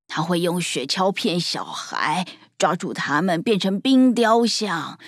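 A woman voicing a kindly old grandmother in a cartoon, telling tales in a hushed, breathy way. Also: fairly low pitch, sing-song, a little slow, dry recording, clean studio sound, full character voice. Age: older. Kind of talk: cartoon dubbing.

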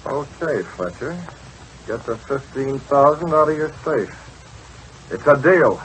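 An actor playing a terse, commanding masked vigilante in a 1940s radio drama. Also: male voice, medium pitch, animated, measured pace, close to an everyday voice. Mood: cool, demanding. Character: masked male vigilante posing as a racketeer, commanding. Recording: noisy vintage radio recording.

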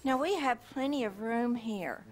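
A woman's voice: fairly high pitch, normal speed. A middle-aged woman leading children in a sing-along, giving simple instructions and repeating phrases for them to echo. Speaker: children's music leader. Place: large indoor room, on stage before seated children.

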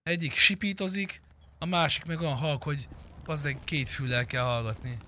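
A man's voice: a little high, steady tone, sharp tone, slight background noise. Blunt, sarcastic man chatting while gaming. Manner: blunt, sarcastic, swears freely. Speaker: gamer streaming a roleplay game. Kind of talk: casual gaming chat.

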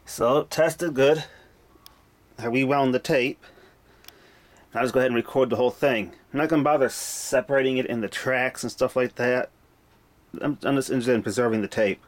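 A man's voice: medium pitch, normal speed, pauses often. A man casually narrating a hands-on demo in an offhand, matter-of-fact way, recorded indoors on a handheld camera. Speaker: hobbyist vlogger. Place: dim indoor room at home. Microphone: camera mic, held by speaker. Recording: clearly noisy handheld camera audio.

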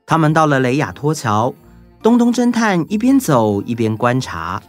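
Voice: mid-range voice, huge pitch swings, moderate pace. A narrator reading a scripted children's story. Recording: music bed behind voice.

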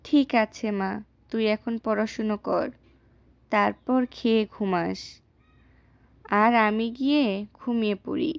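Devoted poor village mother voiced by a woman, cartoon drama, gentle worry, dry close sound, slightly dull recording. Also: mid-range voice, measured pace, gravelly, pauses often, no room sound. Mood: humble, warm. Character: loving, worried poor village mother, hardworking and self-sacrificing. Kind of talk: acted cartoon dialogue.

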